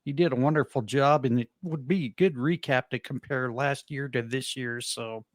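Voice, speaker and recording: A man hosting a wrestling podcast in a relaxed, rambling way. He talks at a middle pitch, pads his lines with fillers and pokes fun at himself. His mic sounds dry and close, with no room around it. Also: moderate pace, dry recording. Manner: easygoing, rambling, self-deprecating with frequent fillers. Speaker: podcast host. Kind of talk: casual wrestling podcast chat.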